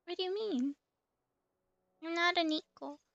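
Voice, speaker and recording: A woman with a high, bright voice hosts a casual game stream. There is noticeable fry in her playful, giggly delivery. She sounds close and dry as she chats and reads out game text. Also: a little slow, no room sound, muffled recording. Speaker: livestream host playing an online game. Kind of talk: casual chat with game commentary and dialogue read aloud.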